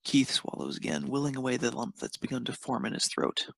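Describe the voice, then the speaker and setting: Male narrator for fan fiction, mid-pitched with a rough, creaky edge, scripted read. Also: big pitch swings, brisk, no room sound, studio quality.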